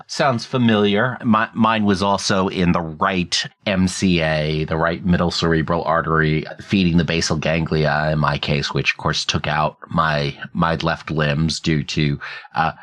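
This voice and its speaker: A man hosting an interview, asking measured questions and explaining medical terms thoughtfully. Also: low-pitched, sharp tone. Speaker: podcast host and stroke survivor.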